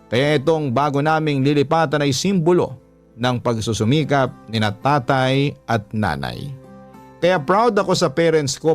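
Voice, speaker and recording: A man's voice: medium pitch, natural voice. A man with a Filipino accent reading out a young daughter's earnest life story in the style of a radio love-story program. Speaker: story narrator. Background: background music.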